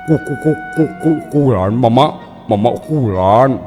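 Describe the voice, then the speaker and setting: A man's voice: mid-range voice, animated, a little slow, pitched above the performer's own voice, close to an everyday voice. A man voicing a timid young male student with a heavy stutter, with folk music playing behind. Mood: flustered.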